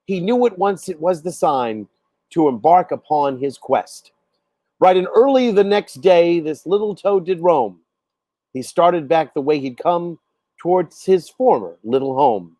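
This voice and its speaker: Male poetry reader, high mellow voice, deliberate and expressive storybook delivery, very dry close sound. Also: no echo. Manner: measured, dramatic, deliberate storytelling. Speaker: poet reading own poem.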